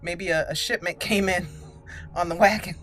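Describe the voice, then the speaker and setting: A woman's voice: fairly low pitch, fairly even intonation, normal speed, over music. A woman giving casual let's play commentary, thinking aloud in a chatty way as she plays. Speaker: game streamer narrating a roleplay playthrough.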